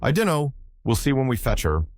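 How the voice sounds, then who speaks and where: A man's voice: mid-range voice, sing-song, a little fast, no room sound, clean studio sound, pitched above the performer's own voice. A man voicing a garrulous old Irish sailor spinning folksy, colloquial yarns in an audiobook story. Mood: gruff. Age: older. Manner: rambling, colloquial yarn-spinning.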